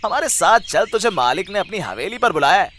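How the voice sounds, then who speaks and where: A man as a loud, high-pitched bullying henchman. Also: very animated, a little fast, a little hiss or hum. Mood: taunting. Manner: loud, taunting. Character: bullying, sneering servant henchman of a landlord. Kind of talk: Hindi cartoon dialogue.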